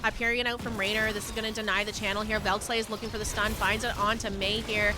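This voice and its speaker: A woman esports caster giving live play-by-play game commentary with an excitable, urgent delivery. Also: mid-range voice, quick pace, music in the background.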